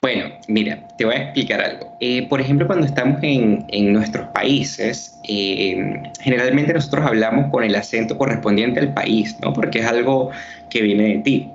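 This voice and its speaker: A man's voice: mid-range voice, normal speed, creaky, dull audio, noisy background. Man explaining like a teacher. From Venezuela. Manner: earnest, teacherly, many fillers. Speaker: journalist and university teacher. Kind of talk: explanatory interview answers.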